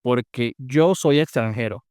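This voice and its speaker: A young man from Venezuela with a Venezuelan accent, hosting a podcast and asking curious, lively questions. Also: mid-range voice, unhurried, smooth, dry recording, clean studio sound. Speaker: podcast host. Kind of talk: casual interview.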